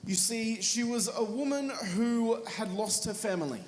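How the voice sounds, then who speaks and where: An Australian man preaching a sermon in short phrases, pausing between each one for his interpreter. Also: sits high, a little hiss or hum. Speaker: evangelist preacher. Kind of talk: sermon delivered through an interpreter. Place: church hall. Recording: reverberant hall with faint music.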